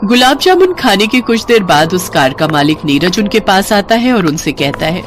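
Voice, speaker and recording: A woman's voice: mid-range voice, very animated, moderate pace. A woman narrates a scripted moral story as a storyteller. Her voice sits in the middle range. Music plays throughout behind her.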